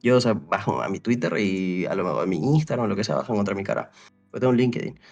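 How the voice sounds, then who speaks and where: A man's voice: on the low side, fast, gravelly, a little mellow, highs cut off. A young man from Paraguay in casual conversation, telling a long story that wanders, with many restarts and "o sea", and acting out bits of dialogue. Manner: rambling, full of restarts and "o sea", re-enacts dialogue. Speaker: interview guest.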